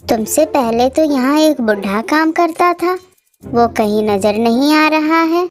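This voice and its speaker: A woman doing a high-pitched, snooty old cartoon woman. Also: lively intonation, slow. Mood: bossy, curt. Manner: bossy, scolding. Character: snooty, short-tempered old woman, bossy visiting sister-in-law. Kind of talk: Hindi cartoon story dialogue. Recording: music playing behind the voice.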